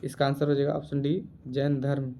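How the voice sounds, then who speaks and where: A man teaching through exam quiz questions, reading options and repeating answers deliberately. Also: medium pitch, flat delivery, creaky, dark tone, a little hiss or hum.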